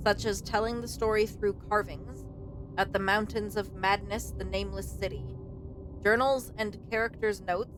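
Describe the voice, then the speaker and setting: A woman streamer giving casual, expressive let's play commentary as she reacts to a game. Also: medium pitch, rough recording.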